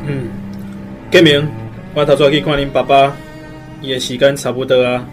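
A man's voice: medium pitch, level tone, normal speed, music in the background, lightly acted. A man playing a stern older uncle in a radio drama, with a Taiwanese accent and an urgent, commanding manner. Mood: urgent, grave.

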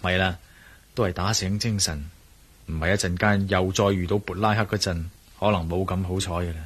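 An actor playing a bold, wary adventurer hero in a radio drama, speaking Cantonese with a Hong Kong accent in a wry, commanding manner. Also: male voice, sits low, steady tone, a little fast, slightly rough. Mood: wary musing. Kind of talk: radio drama acting with spoken inner monologue.

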